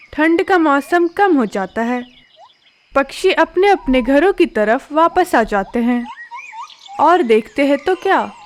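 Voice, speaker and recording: A woman narrating a cartoon story in a steady, clear storytelling manner. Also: sits high.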